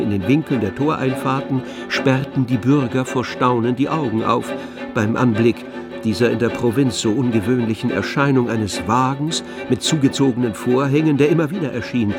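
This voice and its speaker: A man reading scripted literary narration as the narrator of a radio play. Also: mid-range voice. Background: music, with violin.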